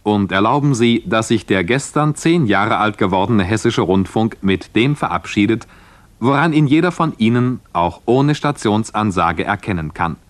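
Man, vintage radio announcer, fairly low voice, formal 1960s style, dry recording. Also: expressive, normal speed, dry recording. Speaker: broadcast announcer.

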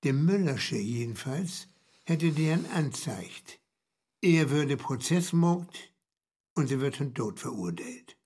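An actor playing a wry, knowing storyteller in radio play banter, husky, with a Low German accent and a dry, explanatory manner. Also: male voice, expressive, slow pace, a little mellow, exaggerated voice. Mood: dry, grave.

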